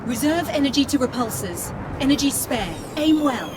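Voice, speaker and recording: A woman's voice: sits high, lively intonation, normal speed, very noisy. A woman voicing a loyal, composed AI assistant in a video game, polite and precise, frankly protective of the one she serves. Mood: urgent, businesslike. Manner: polite, precise, addresses boss as sir.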